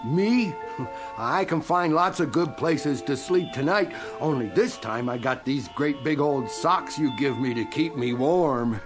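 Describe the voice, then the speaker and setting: A man's voice: a little high, lively intonation, measured pace, music in the background. An actor playing a good-natured old homeless man in a radio drama, talking in a colloquial, easygoing, folksy way. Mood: grateful, cheery.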